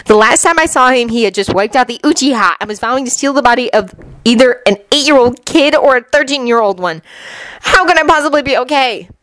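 A brash female ninja examiner, acted out by a woman narrator in a storybook reading. She is blunt and exasperated, her voice raised in pitch and swinging widely in disbelief. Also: expressive, a little fast, a bit bright, no echo. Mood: urgent, upset. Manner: blunt, incredulous.

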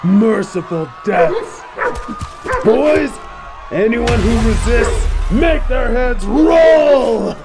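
A man's voice: very high voice, expressive, extremely slow, loud music behind the voice, over a noisy crowd, full character voice. A man voicing a brash, menacing wolf bandit leader in an audio roleplay, taunting and swaggering as he orders his gang about. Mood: barking orders. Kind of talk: scripted fan audio roleplay acting.

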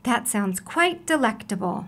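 A woman's voice: on the high side, big pitch swings, a little slow, a bit bright, heavily acted. A woman voicing a friendly male hot dog vendor with a hearty appetite in a children's storybook. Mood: savoring.

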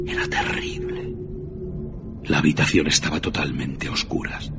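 An actor as the grave narrator of a radio drama ghost tale, telling it in a measured, solemn way. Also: male voice, a bass voice, exaggerated voice. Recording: muffled, with clear ambient noise.

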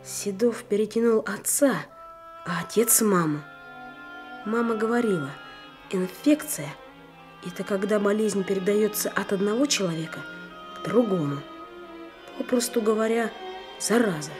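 A woman's voice: mid-range voice, music in the background, natural voice. A performer with a woman's voice playing a brooding young schoolboy thinking aloud in a Soviet radio drama, pensive and indignant. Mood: troubled, puzzled. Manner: slow, pensive, indignant questioning.

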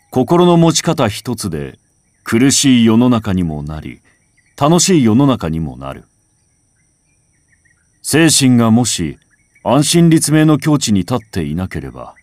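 Low-voiced man reading literature, very animated intonation. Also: no room sound. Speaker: audiobook reader. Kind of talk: literary recitation, scripted first-person narration. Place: home recording.

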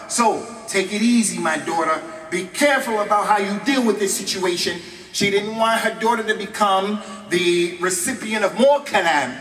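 A middle-aged American man preaching a mosque lecture at a stand microphone, animated and mixing Arabic phrases into his English. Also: high voice. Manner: animated, gestures widely, mixes in Arabic phrases. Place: large mosque hall, indoors. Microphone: stand microphone, filmed from a distant camera. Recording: echoey hall, distant camera mic.

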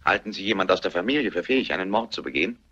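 An actor playing a formal, probing police inspector in a German radio play, with a husky, forceful voice. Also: male voice, low voice, expressive, heavily acted. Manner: inquisitive, formal, brisk. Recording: dull, muffled radio play recording.

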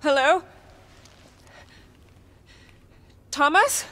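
An actress playing a weary, guarded young psychic woman in a video game, speaking in a measured, dry, hesitant way. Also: high voice, expressive, heavy background noise. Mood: calling out. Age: young adult. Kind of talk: cinematic video game acting. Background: game music.

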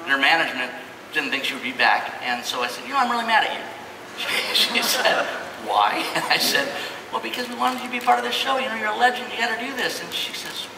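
A man hosts a live panel in a casual, jokey storytelling style. His slightly high voice swings up and down in a lively way, heard from a distance in a big reverberant hall. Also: fairly quick, gravelly. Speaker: panel host. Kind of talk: casual onstage panel hosting. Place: large convention hall stage. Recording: echoey hall PA, distant, noisy crowd.

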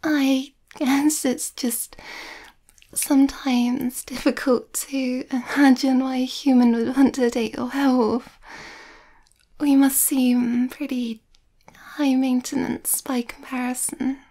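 Shy young werewolf girlfriend played by a woman for ASMR roleplay: high, mellow, nervous, breathy. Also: little pitch movement, takes it slowly. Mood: shy, anxious. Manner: hesitant, breathy, often near whisper, nervous.

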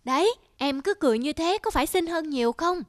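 A woman voicing a devoted, reassuring young husband in a cartoon, gently playful. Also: sits high, expressive, brisk, no room sound, clean studio sound. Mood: tender, reassuring. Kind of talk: cartoon dialogue.

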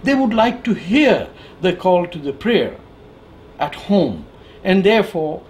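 A man in his sixties giving an opinion monologue to camera, emphatic and sardonic, with deliberate phrasing. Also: fairly high pitch, a little mellow. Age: 60s. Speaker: political commentator. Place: living room with bookshelves, indoors. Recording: clear background noise.

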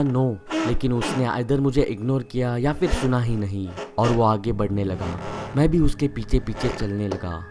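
A young man narrating a first-person horror story in a steady storytelling voice, slipping English phrases into his telling, and closing as the channel's host. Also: medium pitch.

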